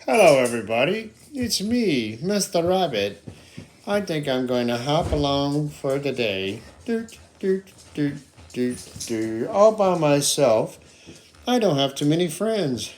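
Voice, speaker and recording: A woman's voice: deep voice, big pitch swings, a little slow, a little mellow, voice pushed down. A woman voicing a mild, lonely male rabbit in a children's puppet show, musing simply to himself. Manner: simple narrated musing with little hummed tunes. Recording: clearly noisy home recording.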